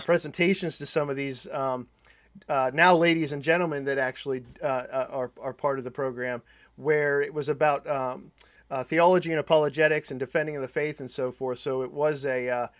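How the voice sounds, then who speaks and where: A man hosting a casual podcast interview, talking in a halting way, searching for words and repeating phrases. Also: medium pitch, no echo. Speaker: podcast host interviewing a guest.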